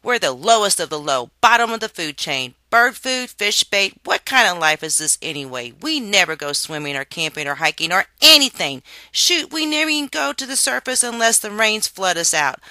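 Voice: medium pitch, big pitch swings, moderate pace, few pauses, bright, forceful tone, no echo, pitched above the performer's own voice, heavily acted. A storybook reader voicing a small, whiny, melodramatic boy worm, screeching and ranting. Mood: furious ranting.

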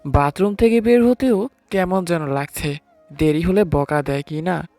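A man's voice: a little high, a little slow, dark tone, no room sound. A man playing a nervous, hesitant newlywed husband who narrates his own story in an audio romance. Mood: sheepish narrating. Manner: hesitant, sheepish. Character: timid, nervous newlywed husband, late twenties. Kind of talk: first-person story narration with acted dialogue. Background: music.

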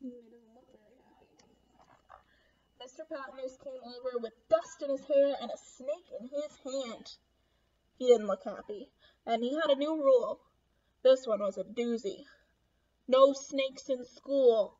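High-voiced young woman reading to kids. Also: unhurried, long pauses, warm tone, muffled recording. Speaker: children's read-aloud host. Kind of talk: expressive picture-book read-aloud for children. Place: small room at home.

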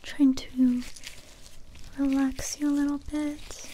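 A young woman performing an ASMR roleplay in a soft, breathy whisper close to the microphone, gentle, hesitant and unhurried. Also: high voice, flat delivery, a little hiss or hum, poor audio. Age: young adult.

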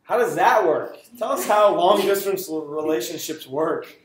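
A man's voice: sits high, expressive, moderate pace. A young man hosting a student interview show in a classroom, joking and teasing his guest. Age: young adult. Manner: teasing, playful, laughs often. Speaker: student host. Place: small classroom, indoors. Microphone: camera on table in front. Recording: echoey classroom with background noise.

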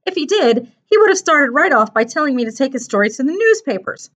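An anxious, awkward teenage boy performed by a woman narrator, pitched up from her usual voice. He is earnest and nervous in this storybook reading, and the recording sounds a little dull. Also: sing-song, fast talker, a bit bright. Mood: earnest, wondering. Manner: anxious, stammering when upset.

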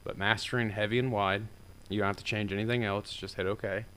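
A man with a deeper voice talking through a software tutorial in a loose, rambling way, full of fillers. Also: fairly even intonation, a little fast, slight background noise, poor audio. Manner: rambling and informal, with many fillers. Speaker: tutorial maker. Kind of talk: casual screen-recorded software tutorial.

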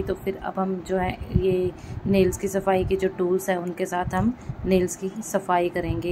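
A woman's voice: lowish voice, background noise. A woman giving a casual step-by-step vlog voice-over, explaining and rephrasing her points as she goes. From India. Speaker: vlogger.

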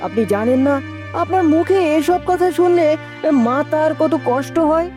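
A woman's voice: high-pitched, normal speed, music in the background, natural voice. A woman playing a devoted, self-assured young prince in a fairy-tale cartoon, with formal, earnest courtly speech. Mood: reproachful concern.

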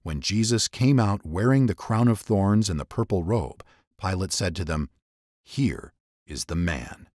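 A man narrating scripture dramatically, in a solemn and deliberate storytelling manner. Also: lowish voice, a little mellow, dry recording, studio quality.

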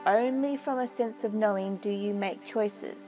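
A woman narrating a guided meditation in a soothing, measured way with long pauses. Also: mid-range voice. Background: music.

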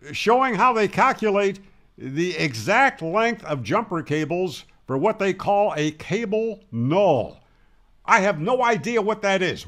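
Man in his sixties, American accent, slightly high-pitched, very varied intonation, conversational explainer, close mic. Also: a bit bright. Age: 60s. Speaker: host of a ham radio tutorial channel. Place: small home room with guitars, indoors. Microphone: close broadcast mic on a boom.

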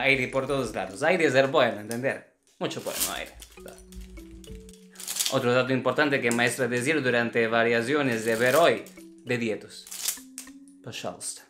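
A man's voice: mid-range voice, close to an everyday voice. Man playing a strict, theatrical Russian ballet teacher. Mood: instructive. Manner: broken Spanish with infinitive verbs, chanted counting syllables. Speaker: ballet teacher reviewing dancers' videos. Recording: roomy echo with faint music behind.

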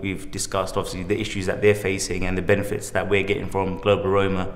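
A middle-aged man from South Africa talks rapidly in an interview. His voice is deep and monotone, with strong echo. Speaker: conference attendee being interviewed. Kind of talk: spontaneous interview answer. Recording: echoey hall.

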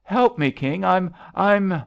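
A man voicing a spirited, excitable young woman swimmer in a story reading, on a muffled recording. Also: medium pitch, slow pace, creaky, voice pushed up, full character voice. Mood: frantic.

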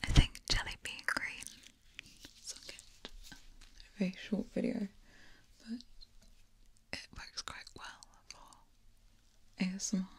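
A young woman whispering and softly singing lullabies as an ASMR host, recorded close on a binaural mic in a small room. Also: medium pitch, level tone, takes it slowly, long pauses. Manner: soft whispering, sings lullabies quietly.

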